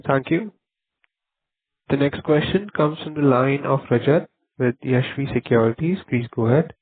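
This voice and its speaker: A man's voice: medium pitch, moderate pace, breathy edge. A man moderating a conference call, announcing callers in formulaic phrases. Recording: narrow phone-like line.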